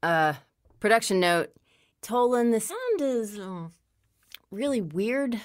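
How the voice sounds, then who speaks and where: An actress playing a practical, skeptical podcast producer, casually dictating production notes in an audio drama. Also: female voice, a little high, big pitch swings, takes it slowly. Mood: matter-of-fact.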